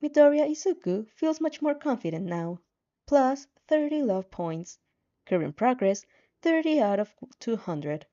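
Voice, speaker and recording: A woman's voice: on the high side, very animated, a little slow, warm tone, highs cut off. A woman voicing a game-like status system that announces point tallies in a formal, clipped way. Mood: neutral announcing.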